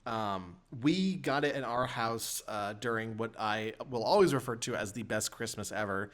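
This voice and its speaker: A man's voice: on the low side, moderate pace, fairly bright tone. A man reminiscing casually on a podcast panel in a hesitant, meandering way.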